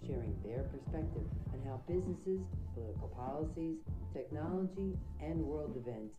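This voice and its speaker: Deep-voiced woman announcing a show intro. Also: expressive, moderate pace. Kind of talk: scripted intro voice-over. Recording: echoey, over loud music.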